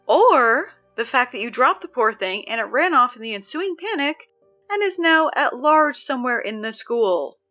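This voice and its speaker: A woman's voice: high-pitched, very animated, moderate pace, dull audio, soft background music. A woman playing a sarcastic, exasperated teen announcer. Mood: needling. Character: exasperated teenage girl reading school announcements. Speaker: school announcer. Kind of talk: audio drama reading school announcements.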